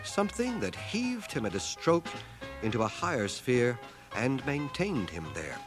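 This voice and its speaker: A man's voice: medium pitch, very animated, a little slow, over music. An actor playing a learned schoolmaster and war veteran, narrating his life in a radio drama with dry, literate irony. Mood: wry recollection. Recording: 1980 radio drama cassette transfer.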